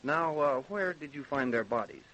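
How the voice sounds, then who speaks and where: Coroner for vintage-style radio play: American, slightly high voice, measured, official, probing, even-keeled. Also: male voice, dull audio, slight background noise. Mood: methodical. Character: probing, even-tempered male small-town coroner investigating deaths. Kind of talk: old-time American radio drama acting.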